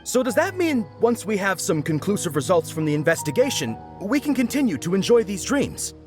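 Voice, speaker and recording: A man's voice: high-pitched, very animated, brisk. A man playing a hopeful, eager, questioning villager in a video game. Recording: music under the voice.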